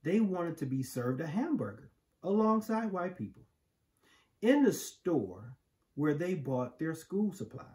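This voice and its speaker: Storybook reader: American man, middle-aged, slow and emphatic delivery, animated intonation. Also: on the high side. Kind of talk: read-aloud of a children's picture book. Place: living room, indoors on a couch. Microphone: camera a few feet away.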